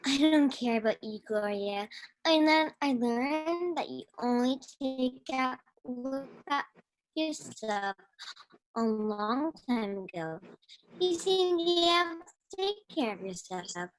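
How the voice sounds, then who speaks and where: A woman's voice: high-pitched, lively intonation, takes it slowly, a little hiss or hum, rough recording. A woman acting a bitter, protective, plain-spoken farm sister in a radio drama. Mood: bitter, reproachful.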